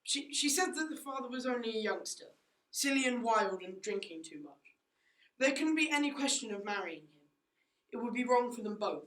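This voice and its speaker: A woman's voice: a little high, animated, normal speed, fairly bright tone. A teenage girl playing a haughty, self-righteous upper-class mother in a school radio play, with a dismissive, indignant manner. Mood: defensive. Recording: echoey room.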